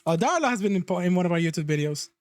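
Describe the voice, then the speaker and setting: A man's voice: high-pitched, lively intonation, rapid-fire. A man streaming a reaction video, chatting casually and joking with his guest and viewers.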